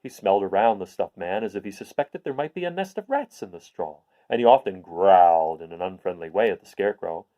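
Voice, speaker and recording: A man reading a children's story aloud as an expressive storyteller. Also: deep voice, brisk, few pauses, muffled recording. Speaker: storyteller, host of a read-aloud series.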